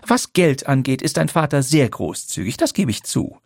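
A man reading a wife's lines, voicing a slender, energetic woman who speaks dryly and defiantly. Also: mid-range voice, normal speed, dry recording, voice pushed up. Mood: bitter. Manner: dry, biting, defiant. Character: energetic slender sharp-tongued wife.

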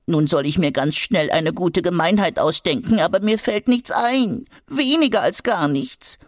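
A tiny spoon-eared storybook creature, high and squeaky, swinging widely between whiny worry and giddy glee. The voice sits close and dry, with no room around it. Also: male voice, lively intonation, moderate pace, no room sound. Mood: pleading. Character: small anxious creature boy with spoon ears. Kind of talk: audiobook character voice.

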